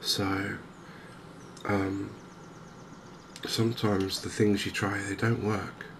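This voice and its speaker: A man softly narrating a game in ASMR style, hushed and hesitantly thinking aloud. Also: on the low side, little pitch movement, takes it slowly, vocal fry. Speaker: gaming video host. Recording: noticeable background ambience noise. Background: game ambience.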